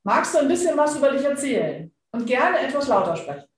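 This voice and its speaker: A woman hosts a podcast and leads a round of introductions with short, friendly prompts. Her voice sits slightly high. The room is very echoey, with sound bouncing off the walls. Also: big pitch swings, fairly quick. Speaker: podcast host. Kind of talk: hosting a team introduction round.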